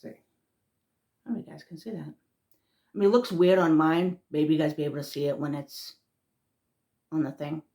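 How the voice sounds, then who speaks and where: Low-voiced woman with a Massachusetts accent. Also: fairly even intonation, normal speed, long pauses, mellow. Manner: rambling, trailing off, restarts words. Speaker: spiritual vlogger. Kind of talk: casual rambling chat.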